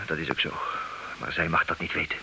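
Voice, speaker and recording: An actor playing a smug, calculating jealous husband in a comic radio play, with a theatrical, declaiming manner. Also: male voice, extremely low pitch, level tone, fast talker, gravelly, pushed and bright, full character voice, poor audio. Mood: smug triumph. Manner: theatrical, self-satisfied, declaiming. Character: smug, calculating jealous husband, self-styled expert on women. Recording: hissy old radio play recording.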